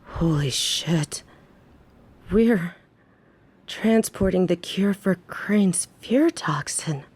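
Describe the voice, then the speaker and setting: Woman playing a courteous, lowish-voiced female gangster. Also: drawn-out words, a little mellow, husky, heavily acted. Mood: awed. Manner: courteous, formal, eager to please. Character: loyal, deferential female gangster, confident and devoted. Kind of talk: scripted audio roleplay acting.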